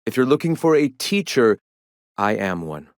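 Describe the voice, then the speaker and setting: Video game rebel sect leader, lofty philosophical preacher, zealous, measured formal oratory, animated pitch, close dry recording. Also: male voice, dry recording. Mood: serene, preacherly. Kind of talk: video game character dialogue.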